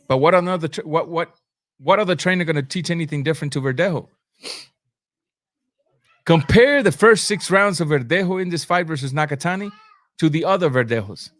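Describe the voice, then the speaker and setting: A man with a slightly high voice commentates on boxing as a live stream host. He is blunt and informal, leaning on slang and hammering key phrases by repeating them. The recording is very dry, with no room echo. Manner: emphatic, repeats phrases for effect. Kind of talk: live sports commentary, answering chat comments.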